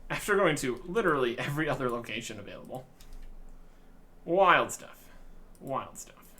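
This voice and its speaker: A man's voice: medium pitch, expressive, moderate pace, bright, forceful tone. A man giving casual, rambling gameplay commentary in an understated way. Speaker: video game let's player.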